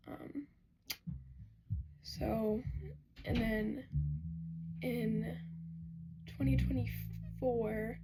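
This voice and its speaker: A young woman with a hoarse, raspy voice she says she has lost, rambling casually through a pet Q&A vlog at home with lots of ums and apologies. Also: lo-fi sound. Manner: rambling, self-correcting, many "um"s, apologetic. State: hoarse, losing her voice. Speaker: pet vlogger answering questions. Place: bedroom at home. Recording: home recording with loud bass music behind. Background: loud bass guitar playing.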